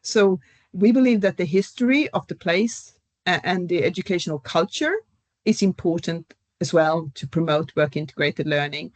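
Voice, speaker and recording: A middle-aged professor, speaking as a guest in an academic panel discussion. She explains at a measured pace, with frequent fillers. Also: fairly low pitch, no echo. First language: Swedish.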